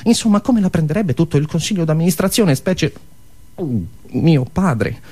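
A man's voice: a little high, animated, moderate pace, a little mellow. A man playing an awkward young banker boss in a theatrical monologue, hemming and hawing evasively as he speaks. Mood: nervous, evasive. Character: awkward, hesitant young banker boss, apologetically firing an employee.

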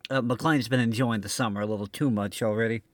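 A man's voice: mid-range voice, steady tone, fast, dry recording, clean studio sound. A man hosting an old-time radio show in scripted comic banter, mock-serious with some stammering.

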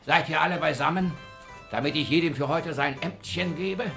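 An actor playing a fussy old miser and master of the house in a radio play, hesitant and repeating himself. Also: male voice, a little high, moderate pace, fairly bright tone, faint music behind. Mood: bossy, penny-pinching. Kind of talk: theatrical German radio play acting.

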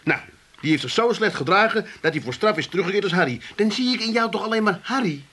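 Nervy, high-voiced son. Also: male voice, lively intonation, quick pace, sharp tone, breathy edge, heavily acted. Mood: matter-of-fact. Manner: stammering, flustered, colloquial. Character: excitable, nervy working-class son dodging trouble. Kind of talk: comic radio drama acting.

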